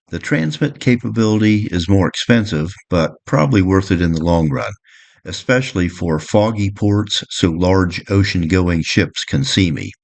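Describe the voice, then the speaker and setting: A man presenting a boat electronics guide in an instructional, explanatory narration. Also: low-pitched.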